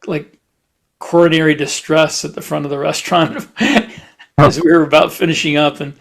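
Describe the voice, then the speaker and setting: A man with a fairly high, gravelly voice talks casually on a podcast. He rambles in a halting way, often doubling back on his words as he goes. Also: steady tone. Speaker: podcast host, writer. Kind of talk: casual chat.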